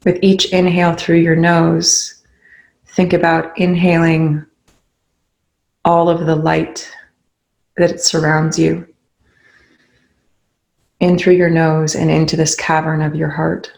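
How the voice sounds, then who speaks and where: A meditation leader guiding listeners in a soothing, unhurried way, with pauses between short instructions. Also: medium pitch, completely flat delivery, vocal fry, a little mellow. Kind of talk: guided meditation.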